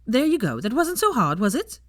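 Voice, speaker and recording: Woman voicing a brash, lively, chatty young witch. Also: a little high, fairly quick, no echo, clean studio sound, pitched above the performer's own voice. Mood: teasing. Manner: brash, breezy, swears casually. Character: blunt, cheerful, chatty young witch teacher with no filter.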